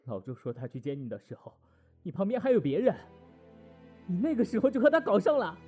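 A man's voice: high voice, huge pitch swings, a little fast, dark tone, highs cut off, faint music behind. A man playing a proud, wounded ex-boyfriend in an audio drama, emotional and accusing.

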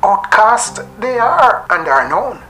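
A woman's voice: mid-range voice, very animated, slow, fairly bright tone, soft background music, low-quality recording. A woman reading scripted poetic narration in a theatrical, rhyming storytelling manner.

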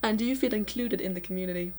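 A woman's voice: mid-range voice, racing, a little mellow. A young woman chatting casually as a student host, thinking aloud and hedging as she goes. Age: young adult. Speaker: student host asking questions. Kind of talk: casual chat.

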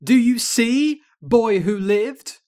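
A man's voice: very high voice, animated, takes it slowly, warm tone, voice pushed up, exaggerated voice. A man voicing an evil, gloating storybook witch in a theatrical, declamatory manner. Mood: gloating, menacing. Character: evil cackling storybook witch, grandiose and menacing.

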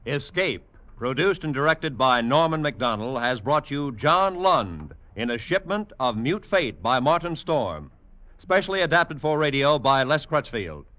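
Bright, animated 1940s male radio announcer. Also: mid-range voice, a little slow. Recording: dull 1940s radio broadcast recording.

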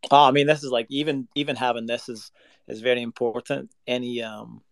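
A man's voice: medium pitch, flat delivery, brisk, gravelly, no room sound. A man from Scotland with a Scottish accent, a singer-songwriter chatting earnestly on a video call as a guest. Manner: earnest, reflective, rambling with fillers. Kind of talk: casual interview chat. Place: home recording space in Nashville.

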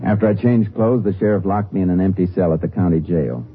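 An actor playing a steady, resourceful Texas Ranger in a 1950s radio drama, speaking in a terse, measured way. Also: male voice, low voice, faint music behind. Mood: sober narration. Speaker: lead actor and narrator. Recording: tinny, noisy old radio recording.

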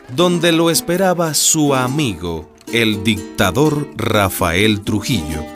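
A male narrator of a historical radio drama. His voice is mid-pitched and he reads from a script. Music runs clearly behind him.

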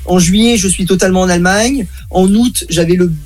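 Jeune homme au débit rapide, voix aiguë. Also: male voice, high-pitched. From Baden-Württemberg, Germany. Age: young adult. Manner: fast, animated, complaining. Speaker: student. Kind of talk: casual chat.

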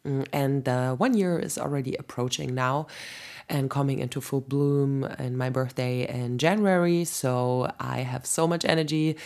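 A woman hosting a podcast, talking in a chatty, loose way with a raspy voice from a sore throat, often laughing and filling pauses with "um". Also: extremely low pitch, steady tone, darkish tone. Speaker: podcast host. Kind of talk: casual spoken podcast monologue.

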